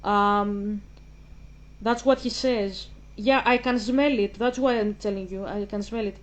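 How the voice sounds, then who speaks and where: A woman with a Greek accent talking casually to camera, pausing and restarting as she translates her thoughts into English. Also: medium pitch, fairly even intonation. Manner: halting, restarts while translating in her head. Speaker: channel host. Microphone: camera mic.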